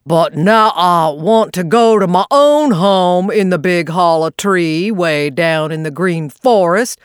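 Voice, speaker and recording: A woman voicing a good-natured old male possum who talks in a slow, mournful dialect drawl. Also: mid-range voice, lively intonation, no room sound, clean studio sound.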